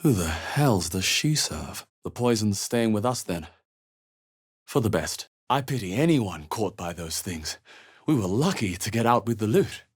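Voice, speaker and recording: A man playing a watchful, wry adventurer hero in a fantasy video game, musing in measured asides. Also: fairly low pitch, very animated, husky, exaggerated voice. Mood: wry, determined. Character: adventurer hero, male, watchful, wry, muttering observations while exploring. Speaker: player character in a fantasy role-playing game.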